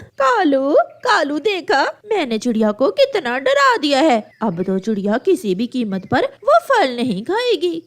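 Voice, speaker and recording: A woman voicing a sly, gloating female crow in a cartoon, with exaggerated, drawn-out exclamations. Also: sits high, wildly swooping pitch, exaggerated voice.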